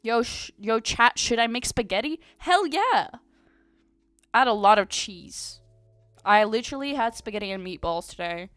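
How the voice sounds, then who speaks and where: A woman's voice: a little high, animated, moderate pace, fairly bright tone, no echo. A young woman streaming from home, chatting casually with viewers in a bubbly, chatty way. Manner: bubbly and chatty, many "like"s and giggles. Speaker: VTuber streamer.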